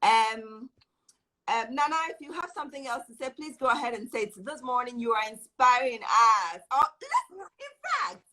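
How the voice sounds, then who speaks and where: Woman hosting a live stream, bright punchy voice, gushing and encouraging, says things twice. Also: fairly high pitch, big pitch swings, normal speed, poor audio. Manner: effusive, encouraging, repeats phrases. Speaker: host of a live online show. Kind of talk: casual live-stream chat.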